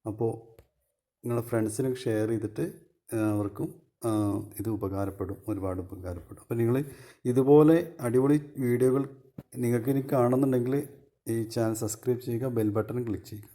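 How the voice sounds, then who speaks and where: A man's voice: medium pitch, fairly quick, long pauses, dark tone. A man with a Malayali accent giving a casual, step-by-step phone tutorial as a video host, explaining informally and repeating his points.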